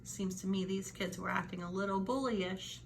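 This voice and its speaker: A woman's voice: medium pitch, steady tone, normal speed, creaky, slight background noise. A woman storyteller reading a children's book aloud with dramatic emphasis, stretching out key words.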